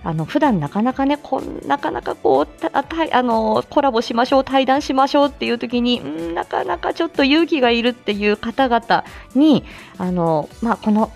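Woman chatting with lively, swooping pitch. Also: fairly quick, vocal fry, few pauses. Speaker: host of a weekly morning livestream; speech-language therapist. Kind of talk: casual chatty livestream talk. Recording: faint music behind the voice. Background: faint background music.

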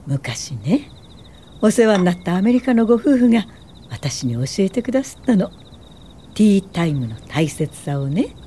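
An actress playing a kindly, dignified elderly woman professor in a radio drama, speaking in a gentle, measured, instructive way. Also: medium pitch, very animated, a little hiss or hum, natural voice. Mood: gentle, reflective. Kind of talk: Japanese radio drama acting and narration.

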